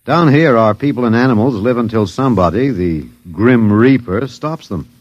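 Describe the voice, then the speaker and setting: Classic radio play, dull lo-fi sound: American male professor played by an actor, mid-pitched, very animated inflection, hesitant, sardonic, resigned. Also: normal speed, no echo, natural voice. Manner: hesitant, wry, dryly humorous. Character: wry, resigned male anthropology professor, last man on Earth. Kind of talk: old radio drama acting. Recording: dull, dry vintage radio recording.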